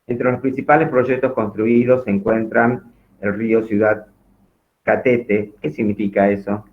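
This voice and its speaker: A man with a fairly low voice acts as host, reading a formal introduction aloud. His delivery is halting and trips on foreign words, and the sound is thin, like a phone call. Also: fairly even intonation. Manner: halting, stumbles over foreign names. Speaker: host introducing a guest lecturer. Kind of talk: reading out a formal biography in an online event.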